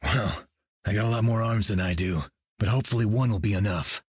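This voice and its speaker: Low, husky soldier hero. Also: male voice, fairly quick, no echo, studio quality. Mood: wry, easygoing. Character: good-natured, soldierly male war-veteran hero.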